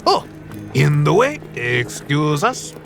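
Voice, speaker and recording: An actor playing a seasoned, wry gambler mentor in a fantasy audio drama, colloquial and instructive. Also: male voice, on the high side, big pitch swings, takes it slowly, creaky, music in the background, full character voice.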